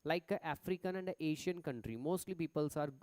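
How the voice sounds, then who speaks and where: A man's voice: fairly high pitch, quick pace, darkish tone, no echo. A man with an Indian accent teaching a live online class, chatty as he reads points off slides and stops to answer students in the chat. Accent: Indian English.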